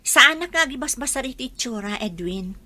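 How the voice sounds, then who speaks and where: An actress with an Ilocano accent playing a stubborn, heartbroken young daughter in a radio drama, speaking firmly and emotively. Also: female voice, high-pitched, animated, normal speed, sharp tone, heavily acted. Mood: firm, resentful.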